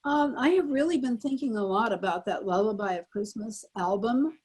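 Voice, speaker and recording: A woman in her eighties with a husky, slightly rough voice reminisces as a panel guest. Her talk is casual and conversational. The recording is dry, with little room sound. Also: a little high, moderate pace, darkish tone, dry recording. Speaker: panel guest, former child radio actress.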